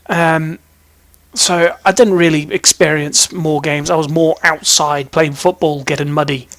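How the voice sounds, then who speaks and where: A man's voice: on the high side, steady tone, unhurried, fairly bright tone, no echo. A young man with an English accent, chatting casually about himself as a vlog host in a rambling, playful, self-interrupting way. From British, raised in army family in Germany, Hong Kong and England. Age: young adult. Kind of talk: casual chatty personal storytelling to camera.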